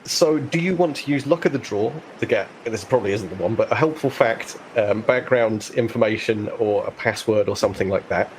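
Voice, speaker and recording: A man as game master, explaining rules and narrating conversationally, pausing to think aloud. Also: lowish voice, a little hiss or hum, poor audio.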